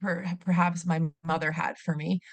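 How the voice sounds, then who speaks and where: Low-voiced earnest middle-aged woman. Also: flat delivery, normal speed, dry recording. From Canada. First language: German. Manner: earnest, reflective, many restarts and fillers. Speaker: podcast guest, retired teacher. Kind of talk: conversational interview.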